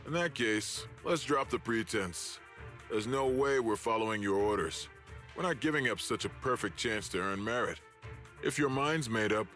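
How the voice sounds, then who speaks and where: A man's voice: mid-range voice, a bit bright, natural voice. An actor voicing a scheming, arrogant male corporate enforcer who taunts and threatens in a game cutscene. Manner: mocking, taunting.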